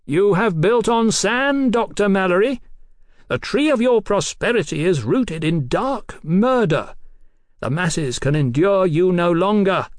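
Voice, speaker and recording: A man's voice: sits high, animated, unhurried, no echo, clean studio sound, pitched above the performer's own voice. A man voicing a taunting, fanatical revolutionary villain in an audiobook, theatrical and declamatory. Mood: coaxing, ominous.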